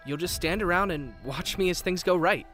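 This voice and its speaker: A man's voice: sits high, a little fast, bright, forceful tone. A man acting a playful, self-doubting young Avatar in a fan-made visual novel, earnest and teasing. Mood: earnest, confiding. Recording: background music throughout.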